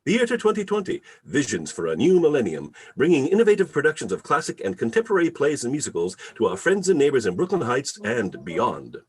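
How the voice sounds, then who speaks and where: A man announcing and narrating a radio play in a theatrical, deliberate, emphatic style. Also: mid-range voice, huge pitch swings, slightly rough. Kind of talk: old-time radio announcing and storytelling. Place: remote video call reading.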